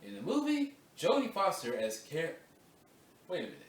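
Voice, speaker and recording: A man reads voice-over narration for a video essay in a casual, self-correcting way, stopping to redo lines and talking loosely between takes. His pitch moves a lot as he talks. He sits away from the mic in an echoey room, with a faint hum of ambience behind him. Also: wildly swooping pitch, normal speed, creaky. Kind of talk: recording voice-over takes with off-script chat. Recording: roomy echo, distant mic, faint ambience.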